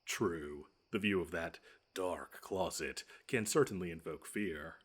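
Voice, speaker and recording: Audio roleplay monster, male actor: very low voice, smug, taunting, theatrical, menacing but quick to get flustered. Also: fairly bright tone, slightly rough, exaggerated voice. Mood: grudging, skeptical. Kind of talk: scripted one-sided audio roleplay.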